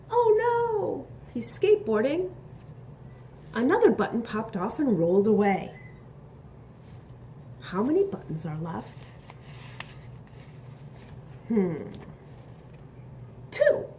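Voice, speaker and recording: A woman reading a picture book aloud to young children, expressive and instructive, pausing to ask questions and add asides. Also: on the high side, a little hiss or hum. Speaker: storybook reader.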